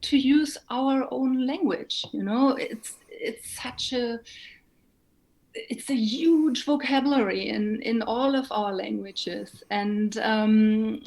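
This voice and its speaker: A woman with a German accent speaks as a guest in a thoughtful interview. Her voice sits slightly high and moves slowly. She is reflective, pausing to search carefully for the right words. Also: vocal fry, a little mellow, rough recording. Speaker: interview guest, singer and writer.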